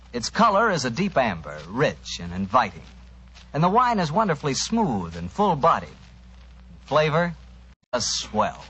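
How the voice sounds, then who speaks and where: A young American man works as a vintage radio host with very animated pitch and a slightly rough, husky tone. His delivery is folksy and emphatic, in scripted 1940s style. The recording is muffled and old. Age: young adult.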